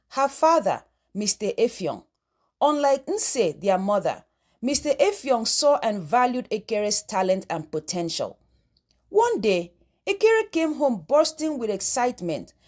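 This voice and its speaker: A woman reads a folktale as scripted narration. Her voice sits high, and her pitch moves in lively sweeps as she tells the story.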